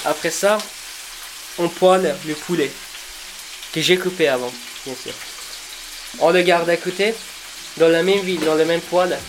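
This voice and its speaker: A man in his twenties from Afghanistan, a cook, telling his life story in a reflective, unhurried way, pausing and restarting as he searches for words. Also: fairly high pitch, noisy background. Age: 20s. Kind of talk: personal storytelling for a documentary portrait.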